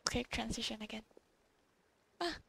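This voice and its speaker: A woman's voice: on the high side, moderate pace, creaky, sharp tone, slightly rough. A young woman giving casual gameplay commentary, informal and reactive, often in short interjections. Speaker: gaming streamer. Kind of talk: casual gameplay commentary, reading dialogue along. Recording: game music behind.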